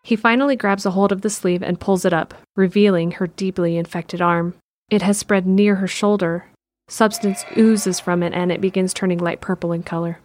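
A woman's voice: fairly low pitch, fairly even intonation, moderate pace, darkish tone. A woman reading scripted narration for an audio drama. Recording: music behind the voice.

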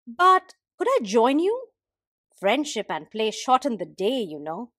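Lady doing a chatty friendly goose for a storybook, kinda high, bouncy pitch, folksy and helpful. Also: sing-song, measured pace, fairly bright tone, no echo, clean studio sound, full character voice. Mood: friendly, persuading.

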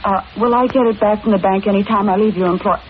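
A woman's voice: medium pitch, fairly even intonation, fast talker, close to an everyday voice. An actress playing a meek, polite, anxious young woman seeking work, deferential and hesitant, in 1940s radio horror style. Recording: noisy, muffled old radio recording.

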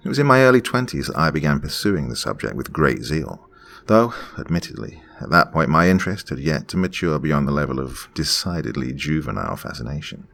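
A man in his forties from Pennsylvania, reading a reflective first-person story in a measured way. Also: a bass voice, very animated, creaky, a bit bright. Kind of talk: scripted first-person narration.

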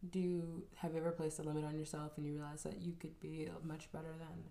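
A woman's voice: sits low, level tone, fast talker, vocal fry, mellow. A young woman hosting a podcast, chatting casually and teasing her guest. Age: young adult. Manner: teasing, playful. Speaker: podcast host. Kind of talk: casual chat. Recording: roomy echo.

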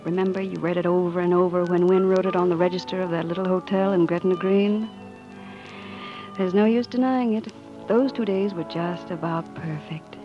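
An American actress playing a proud, plain-spoken young working-class bride, tender yet defiant, in 1940s radio drama style. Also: female voice, on the low side, steady tone, normal speed, music in the background, natural voice. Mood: bittersweet reflection. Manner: emotional, plain-spoken, emphatic. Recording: muffled 1940s radio broadcast.

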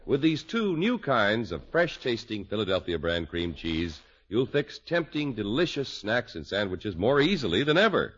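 A man's voice: medium pitch, fairly bright tone, slightly rough. A man announcing and reading advertising copy in a scripted 1950s radio style. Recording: dull vintage radio broadcast recording.

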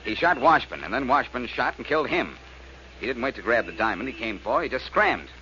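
An actor playing a brash, quick-witted male amateur sleuth in a 1940s American radio detective drama, cocky and commanding. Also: medium pitch, sing-song, bright, forceful tone. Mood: urgent, insistent. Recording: muffled, noisy old radio recording.

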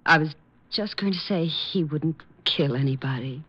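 An actress playing a frightened, coy young widow in a 1950s radio drama, stammering melodramatically. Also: female voice, low-pitched, normal speed, husky, muffled recording. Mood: nervous. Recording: old radio recording with music.

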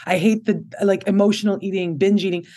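Woman, 30s, low voice; expressive, candid interview guest who laughs easily. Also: fairly quick. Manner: expressive, open, laughs often. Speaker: podcast guest.

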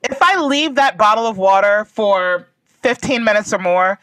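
Comedian cohost, blunt and jokey, bright lively voice, dry close sound. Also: mid-range voice, moderate pace, no echo, poor audio. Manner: blunt, emphatic, joking. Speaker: podcast co-host and comedian. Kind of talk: casual chat.

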